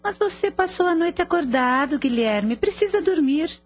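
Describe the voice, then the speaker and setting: A woman's voice: very high-pitched, expressive, moderate pace. A woman playing a fussing, caring mother of a grown son in a radio soap opera. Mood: caring concern. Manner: scolding yet motherly. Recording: dull lo-fi audio with music behind.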